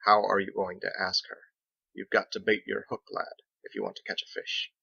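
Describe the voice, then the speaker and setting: A man voicing a genteel, wry, philosophical sea captain who speaks formally and deliberately in a storybook reading. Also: on the low side, little pitch movement, vocal fry, bright, forceful tone, husky, no echo, lo-fi sound, exaggerated voice. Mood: gently wry. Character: genteel, measured, pipe-smoking male sailor captain, wry and philosophical.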